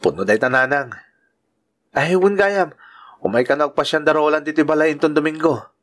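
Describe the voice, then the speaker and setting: A man's voice: a little high, normal speed, a bit bright, lightly acted. A man with an Ilocano accent playing a good-natured bachelor uncle and devoted son in a radio-style drama. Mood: earnest.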